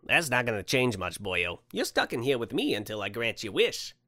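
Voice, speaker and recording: A man's voice: medium pitch, very animated, normal speed, bright, forceful tone, no room sound, studio quality. Man putting on a boisterous, swooping storybook Santa. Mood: insistent. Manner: folksy, boisterous, cajoling. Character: jolly eccentric Santa, brash, pushy, tube-obsessed wish granter.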